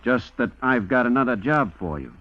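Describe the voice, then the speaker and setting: A man's voice: medium pitch, animated, normal speed, no room sound. A hard gangland boss, snapping curt orders and threats with swooping emphasis. He is impatient and menacing, heard on a dull old radio drama recording. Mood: businesslike menace. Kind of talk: 1940s-1950s radio crime acting.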